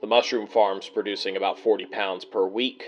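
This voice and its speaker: An actor playing a grim, worn-down male bunker survivor recording a personal log in an audio drama, speaking in terse, measured, bleak phrases with halting pauses. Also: fairly low pitch, steady tone, highs cut off, faint music behind, lightly acted. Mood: grim, resigned.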